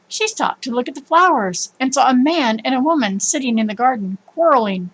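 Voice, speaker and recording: A woman narrating a children's story in an expressive, emphatic storytelling manner. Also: high voice, a little fast. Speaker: storybook reader.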